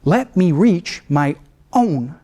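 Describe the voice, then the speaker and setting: A middle-aged man, a trial lawyer, lecturing law students in an animated way, swinging between a low calm voice and sudden raised mimicry. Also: takes it slowly. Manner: animated, mimics other lawyers with rising pitch, then drops low to show restraint.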